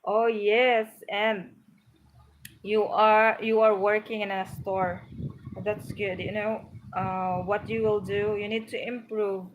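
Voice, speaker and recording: A woman's voice: mid-range voice, flat delivery, takes it slowly, dull audio, a little hiss or hum. Encouraging Filipino-accented woman. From Philippines. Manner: earnest, encouraging, repeats herself while searching for words. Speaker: livestream host, English tips. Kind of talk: casual live stream chat, reading comments aloud.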